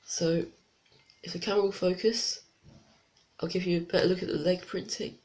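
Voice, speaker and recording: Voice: mid-range voice, steady tone, moderate pace, a little mellow. A reviewer with a blocked, congested nose, chatting casually through a toy set review with unsure, rambling asides. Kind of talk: casual product review vlog.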